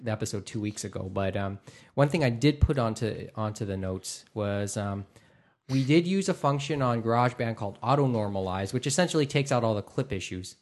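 A man hosting a podcast in casual chat, with a halting, rambling delivery full of false starts. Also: on the low side, animated, a little mellow. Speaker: podcast host. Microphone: microphone through a mixer.

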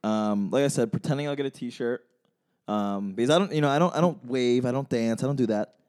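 Mellow-voiced man, self-deprecating chatter. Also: medium pitch, fairly quick. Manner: self-deprecating, rambling with restarts. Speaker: podcast co-host. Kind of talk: casual sports chat.

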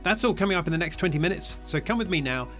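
An upbeat man hosts an interview podcast, reading his introduction and then putting questions to his guest. His voice sits fairly high, with faint music playing underneath. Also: lively intonation, rapid-fire, a bit bright. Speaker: podcast host.

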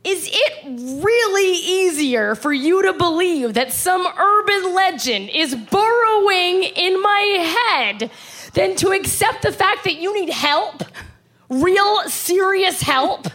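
A woman's voice: extremely high pitch, animated, takes it slowly, a bit bright, heavily acted. A woman playing an exasperated, worn-out young woman in a horror radio play, earnest and emotionally strained. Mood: angry, incredulous. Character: exasperated, worn-out young woman leaving her troubled girlfriend.

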